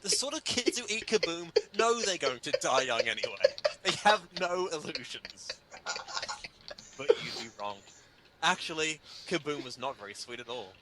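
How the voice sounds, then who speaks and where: A man's voice: fairly high pitch, very animated, moderate pace, crowd noise. A man giving a dramatic comedic reading of an article, with an emphatic, mock-indignant, sardonic delivery. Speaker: reader performing a humor article.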